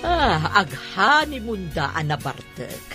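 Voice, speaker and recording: A woman's voice: mid-range voice, very animated, takes it slowly. An actress playing a jolly, teasing married woman in an Ilocano comedy radio drama, with music behind. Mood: amused.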